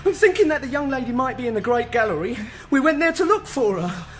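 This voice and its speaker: An actor playing a frightened, foolish castle guard, babbling comically in a gothic radio drama. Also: male voice, very high voice, fairly quick, full character voice. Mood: nervous babbling. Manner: excitable, babbling.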